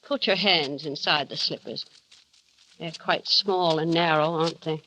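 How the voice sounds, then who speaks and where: A sharp, obsessive housekeeper. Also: female voice, deep voice, measured pace, slight background noise, heavily acted. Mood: coaxing, insistent. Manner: insistent, coaxing, unsettling. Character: obsessive, possessive middle-aged housekeeper woman, coldly devoted. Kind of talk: 1940s radio acting. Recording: dull vintage radio recording.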